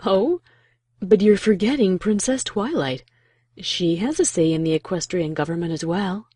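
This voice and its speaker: Lady playing a regal pony princess, mellow n a bit husky, dry teasing sarcasm, cartoon reading. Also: mid-range voice, normal speed, no echo, close to an everyday voice. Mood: amused, sarcastic. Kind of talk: cartoon fan fiction dramatic reading.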